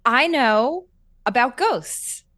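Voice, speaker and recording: A woman's voice: on the high side, animated, very slow, fairly bright tone, dry recording, studio quality. A woman improvising in character in a tabletop roleplaying game, hesitant and often trailing off.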